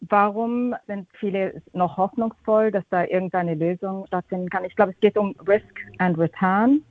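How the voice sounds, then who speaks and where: A woman's voice: medium pitch, fairly even intonation, normal speed, phone-quality sound. A woman with a slight English accent, a guest fund manager answering interview questions chattily, with many restarts and English terms. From Austria and Japan.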